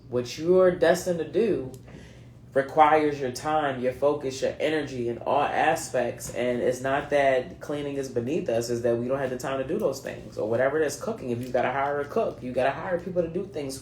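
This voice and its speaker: A woman's voice: a bass voice, normal speed, a little mellow, echoey room, slight background noise. A woman in her late thirties with a mixed US East Coast accent, giving a chatty, slangy card reading straight to her viewers. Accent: mixed US East Coast (New England, Philadelphia, North Carolina, Delaware). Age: late 30s. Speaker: card reader.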